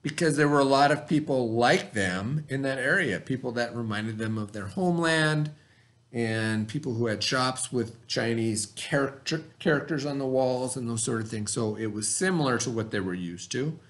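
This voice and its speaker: A man's voice: mid-range voice, normal speed, fairly bright tone. Man teaching a read-aloud lesson. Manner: explanatory, asks and answers own questions.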